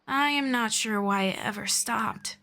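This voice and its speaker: A woman voicing a gentle, patient female elf mentor in an ASMR roleplay, speaking softly and slowly and slipping into whispers. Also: fairly high pitch. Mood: gentle, soothing. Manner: soft-spoken, slow and patient, drifting into whispers. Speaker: voice actor.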